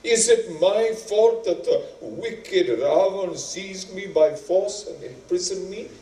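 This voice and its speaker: A man's voice: high-pitched, animated, warm tone, roomy sound, distant mic, slight background noise, voice pushed up, heavily acted, rough recording. A man acting a proud, wounded epic heroine. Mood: hurt, indignant. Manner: indignant, pleading, formal and deliberate. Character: wounded, proud noble young wife of an epic hero.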